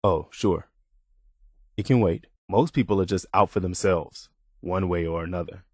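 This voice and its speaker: Low-voiced, earnest militia soldier. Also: male voice, normal speed, husky, no echo, studio quality. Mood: heartfelt, sincere. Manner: sincere, plain-spoken, hesitant when opening up. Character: earnest, weary militia soldier, loyal and humble man. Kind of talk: video game character dialogue.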